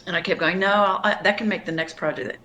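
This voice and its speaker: A woman's voice: medium pitch, steady tone, brisk, pushed and bright, slight background noise. A middle-aged woman singer-songwriter from New York, talking thoughtfully about her music in an interview, often restarting sentences. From Long Island, New York. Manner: thoughtful, reflective, with many restarts. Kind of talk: interview conversation.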